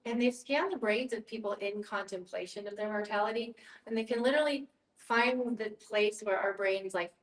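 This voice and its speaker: A woman's voice: medium pitch, normal speed. A woman speaking casually and reflectively as a facilitator in a group discussion, often checking in with her listeners. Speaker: guest facilitator, hospice social worker. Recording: echoey room, distant from mic.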